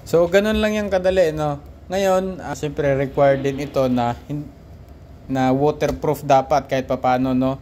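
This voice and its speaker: A man's voice: on the high side, fairly even intonation, measured pace, a little mellow, a little hiss or hum. A man, a ship's officer, casually demonstrating equipment aboard a vessel while filming on a handheld camera, with frequent tag questions and restarts. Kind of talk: casual instructional demo. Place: aboard a ship.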